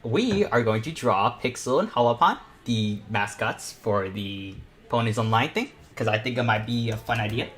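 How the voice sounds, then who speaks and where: A young man hosting a live online art panel, chatting casually and excitably to viewers as he paints. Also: medium pitch, moderate pace. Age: teens or young adult. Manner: informal, excitable, giggly.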